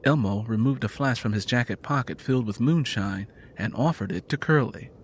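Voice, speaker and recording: A man's voice: mid-range voice, a little fast, slight background noise. A man narrating scripted fiction in a dramatic storytelling style.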